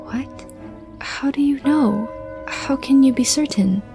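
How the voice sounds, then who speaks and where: A woman playing a polite, hesitant but stubborn young village girl in an animated film. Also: high-pitched, slow pace, gravelly, over music, full character voice. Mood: puzzled. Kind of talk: animated film character acting.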